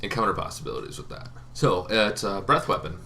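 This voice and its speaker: A man's voice: fairly low pitch, expressive, quick pace, pushed and bright. A young man casually explaining tabletop game monsters, rambling with frequent ums and restarts. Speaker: tabletop game video host.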